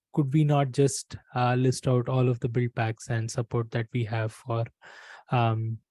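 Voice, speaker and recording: A man in his thirties explaining an issue on a work video call in a halting, measured way. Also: medium pitch, mellow, dry recording, poor audio. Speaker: open source community member presenting an issue. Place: bedroom or small room, video call.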